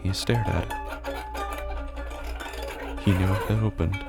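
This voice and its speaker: Male horror podcast narrator, low register, intense and deliberate delivery, musical bed with plucked strings behind. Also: fairly even intonation, vocal fry, over loud music. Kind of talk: scripted dramatic horror narration.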